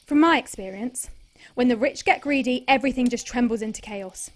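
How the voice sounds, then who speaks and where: A woman's voice: on the high side, a little fast, close to an everyday voice. An actress playing a tough, blunt young female monster hunter in an audio drama. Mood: brooding. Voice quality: brash, sweary. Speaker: lead character.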